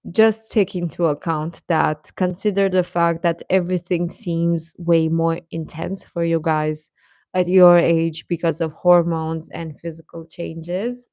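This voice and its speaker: A woman with a slightly low voice talks casually to her livestream audience. She is encouraging and reassuring as she offers advice, and the sound is dry, with very little room on it. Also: flat delivery, slow, creaky, warm tone, dry recording. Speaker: livestream host talking with young viewers.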